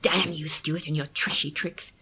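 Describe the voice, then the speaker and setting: A spiteful widow spits out curses and snarls, as a woman puts her on. Her voice sits a little low, and the scorn stays close to the narrator's own sound. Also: steady tone, normal speed, gravelly, sharp tone, husky, heavily acted. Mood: scornful. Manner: snarling, cursing. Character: bitter, spiteful widow, middle-aged woman.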